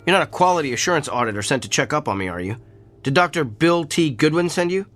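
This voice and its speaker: An actor playing a blunt, cerebral doctor in a radio drama, with a precise, pedantic, clinical manner. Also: male voice, on the high side, expressive, fast, fairly bright tone, no room sound, soft background music. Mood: flustered.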